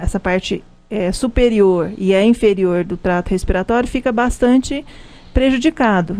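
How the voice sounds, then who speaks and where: A woman's voice: mid-range voice, a little mellow. A Brazilian woman, a pharmacist, explaining health topics in a live radio interview, with frequent restarts. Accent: Brazilian Portuguese. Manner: explanatory, many restarts and fillers. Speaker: pharmacist guest.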